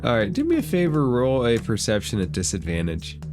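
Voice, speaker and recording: A man's voice: mid-range voice, sing-song, a little fast. A man acting as dungeon master, running a tabletop game with background music. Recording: loud music behind the voice. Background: loud background music.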